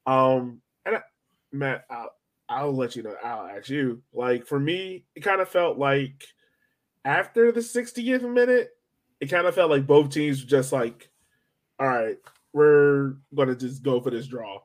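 Male sports podcast host, mid-pitched, conversational and rambling, hedges and rephrases often. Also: unhurried. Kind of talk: casual sports chat.

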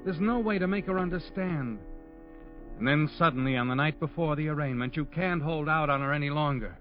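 An actor playing a sinister, all-knowing narrator who taunts a guilty man in a 1940s radio crime drama, speaking ominously and deliberately. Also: on the high side, natural voice. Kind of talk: 1940s radio mystery narration in second person. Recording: muffled old radio recording with music.

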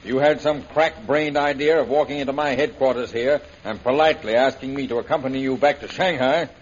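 A menacing revolutionist warlord from a 1930s radio serial, played by a man. He sneers and gloats in a husky, medium-pitched voice, domineering and theatrical. The recording is muffled and dated. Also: a little fast, lightly acted. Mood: smug menace. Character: arrogant, menacing male revolutionist villain, cruel and gloating.

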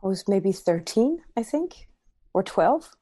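A woman's voice: medium pitch, big pitch swings, a little slow, dark tone, husky, no echo, studio quality. A woman, a podcast guest telling a personal story in a rambling way. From Armenia.

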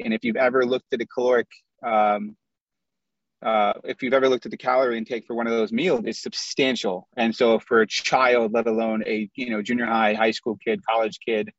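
A man's voice: mid-range voice, dry recording. Man in his thirties on a lo-fi call. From US Midwest. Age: 30s. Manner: candid, storytelling, lists things in runs. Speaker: guest. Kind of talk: casual interview over a video call. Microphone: video call. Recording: dull lo-fi video call audio.

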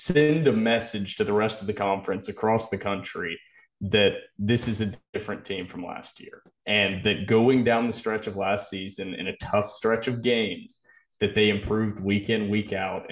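A man co-hosting a sports podcast, giving his opinions and analysis on football. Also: fairly low pitch.

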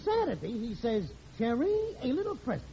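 Wisecracking busybody neighbor, very animated, high voice, slangy, vintage radio comedy, muffled faintly noisy sound. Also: male voice, moderate pace, creaky, full character voice. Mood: gleefully ominous. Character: nosy wisecracking neighbor husband, gleefully alarmist. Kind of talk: 1940s radio comedy acting.

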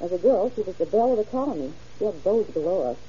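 A woman's voice: mid-range voice, expressive, fast talker, mellow, slightly rough. A woman playing a chatty neighbor witness in an old-time radio drama. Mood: earnest, gossipy. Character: chatty female neighbor witness, eager to gossip. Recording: dull muffled old radio recording, noisy.

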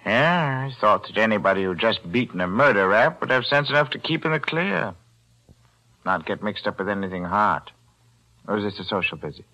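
Older crooked pawnbroker: smug haggler, bright-toned, 1940s radio. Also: male voice, fairly low pitch, expressive, brisk, rough recording. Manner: smug, coolly bargaining. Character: shrewd, greedy older male pawnbroker. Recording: vintage 1940s radio broadcast.

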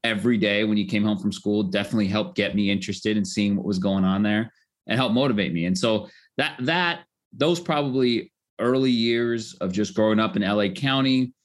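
An American man from Southern California, a lawyer and tech executive, talking casually as an interview guest. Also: lowish voice. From Southern California, United States.

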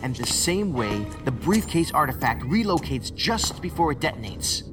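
A man acts out a reckless, stubborn federal agent in an audio drama. He sounds dry and sardonic, with insistent, clipped phrasing and a medium pitch, and music plays clearly behind him. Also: very animated, moderate pace, natural voice. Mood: urgent, intense.

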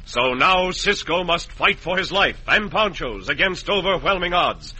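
A man's voice: sits high, animated, a little slow, over loud music, poor audio. A man with an American accent narrating as a dramatic old-time radio announcer, reading scripted story recaps. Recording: vintage radio broadcast recording.

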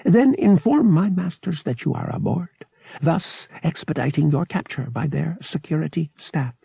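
A man's voice: fairly high pitch, expressive, moderate pace, creaky, mellow, slightly rough, no room sound, clean studio sound, voice pushed up, full character voice. A man voicing a polite, literal-minded little astromech droid in an audiobook, formal, matter-of-fact and mechanical. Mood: formal, apologetic.

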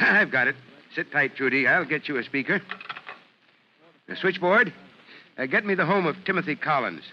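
A man's voice: a little high, lively intonation, moderate pace, long pauses, sharp tone. A man playing a genial, authoritative middle-aged fire chief in a 1950s radio drama, hearty and decisive. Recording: lo-fi vintage radio recording, faint noise.

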